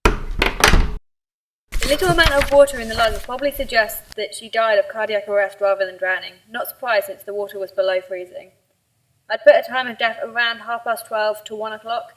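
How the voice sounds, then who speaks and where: A woman's voice: on the high side, fairly even intonation, moderate pace, fairly bright tone, close to an everyday voice, poor audio. A woman playing a matter-of-fact pathologist in an amateur radio drama, clinical and unemotional. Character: matter-of-fact female pathologist reporting post-mortem findings.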